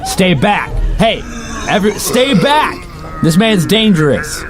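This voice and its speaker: A man's voice: high-pitched, very animated, slow pace, loud music behind the voice, heavily acted. A man playing a chatty, sardonic rideshare driver, telling his story in the first person for an audio drama. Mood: panicked, urgent. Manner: casual, sardonic, conversational. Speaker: narrator and lead character.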